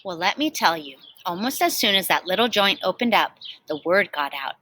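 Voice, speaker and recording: A woman teacher reading a picture book aloud to children in an expressive storytelling style. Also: fairly low pitch, moderate pace, a little hiss or hum.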